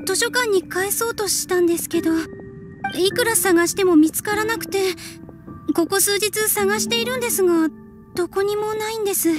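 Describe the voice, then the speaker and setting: A woman's voice: extremely high pitch, normal speed, music in the background. A woman voicing a timid, flustered young townswoman in an anime game, hesitant, anxious and apologetic. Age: young adult. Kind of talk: anime video game voice acting.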